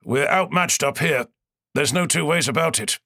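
A man's voice: fairly high pitch, moderate pace, sharp tone, no echo, studio quality, pitched above the performer's own voice. A man voicing a blunt, loyal male starship captain who reports grimly to his lord. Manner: grim, plain-spoken.

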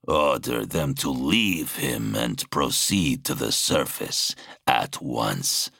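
A man voicing a monstrous horned warlord for an audiobook, imperious and coldly menacing, in a deliberate drawl. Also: extremely low pitch, expressive, gravelly, bright, forceful tone, slightly rough, dry recording, studio quality, pitched below the performer's own voice, heavily acted. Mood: imperious displeasure.

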